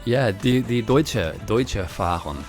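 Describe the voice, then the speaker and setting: A man's voice: on the low side, expressive, takes it slowly. A man with an English accent who grew up speaking German and Italian, streaming a game and chatting loosely with viewers while reading their messages. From grew up in Germany and Italy. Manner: rambling, chatty, laughs often. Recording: faint music behind.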